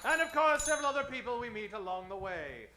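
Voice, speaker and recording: A man announcing and narrating an old-time radio serial, booming and theatrical, with drawn-out emphasis on key words. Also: big pitch swings, pushed and bright, faint music behind.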